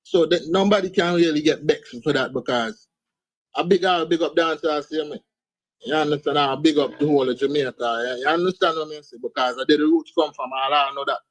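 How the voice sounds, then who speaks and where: A man's voice: on the high side, a little fast, no echo, low-quality recording. Laid-back Antiguan man, dry sound. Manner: laid-back, sprinkles speech with "man" and "you understand". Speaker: guest artist. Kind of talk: casual interview chat. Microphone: video call.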